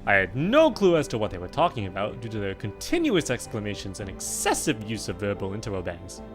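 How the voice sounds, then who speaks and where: A performer plays an exasperated, bookish pony in a parody cartoon. The middling-pitched voice is dry and sarcastic but rises and falls animatedly, with music clearly behind it. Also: huge pitch swings, fairly quick. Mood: wry narrating. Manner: dry, irritable, wordy. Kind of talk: fan parody cartoon dub.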